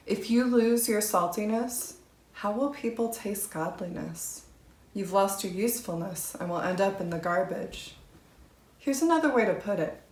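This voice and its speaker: A woman reading scripture aloud as a church reader. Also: medium pitch. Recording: roomy, echoing space.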